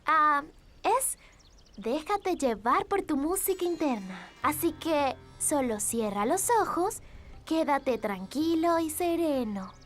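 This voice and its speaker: A woman's voice: high-pitched, sing-song, slow, fairly bright tone, soft background music, full character voice. A woman voicing a perky, encouraging young girl in a children's cartoon dub, chirpy and instructive. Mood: upbeat, gentle. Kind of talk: animated children's series dub.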